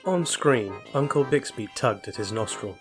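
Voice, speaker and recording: A man's voice: mid-range voice, expressive, moderate pace, over music. A man reading short fiction aloud as scripted narration. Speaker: story reader.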